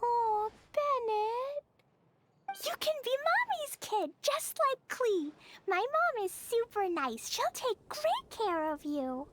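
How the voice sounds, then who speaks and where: A woman's voice: extremely high pitch, sing-song, slow pace, a bit bright, slight background noise, heavily acted. A woman voicing a sweet, eager, innocent little girl in a video game, with childlike, simple phrasing.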